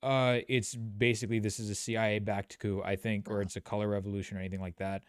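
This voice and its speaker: A man hosting a political stream, asking questions in a measured, hedging way, with many restarts. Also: fairly low pitch, little pitch movement, clean tone, no room sound, studio quality. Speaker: streamer host. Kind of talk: casual political discussion.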